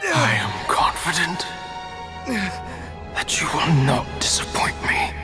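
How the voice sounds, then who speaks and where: A sinister game tempter that lives inside a victim's head. He speaks very slowly, with wide swings in pitch, coaxing and commanding over loud music. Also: wildly swooping pitch, exaggerated voice. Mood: coaxing, menacing. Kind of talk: video game voice acting.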